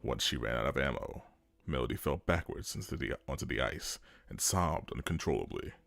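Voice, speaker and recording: Man, dramatic scripted narration, deep voice, expressive pitch movement, dry recording. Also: brisk, vocal fry, dry recording.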